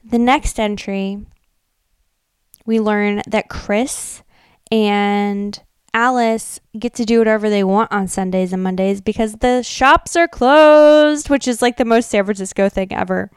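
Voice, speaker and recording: American woman chatting casually on a podcast, clear smooth voice, really dry close sound. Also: medium pitch, steady tone, a little slow, no echo. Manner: informal, peppers talk with "like" and emphatic slang. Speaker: podcast co-host. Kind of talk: casual chatty retelling and commentary.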